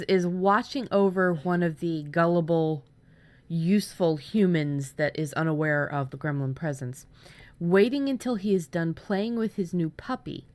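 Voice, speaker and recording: A woman in her thirties co-hosting a casual writing chat, sharing story ideas in a playful, joking way. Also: on the low side. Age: 30s. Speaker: writer and co-host.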